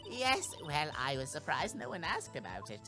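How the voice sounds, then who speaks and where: A man's voice: a little high, wildly swooping pitch, normal speed, pushed and bright, faint music behind, exaggerated voice. Man putting on a huffy old woman, wildly swooping voice. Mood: grudging explaining. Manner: huffy, rambling, scolding. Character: nagging, indignant, gossipy old woman trial witness.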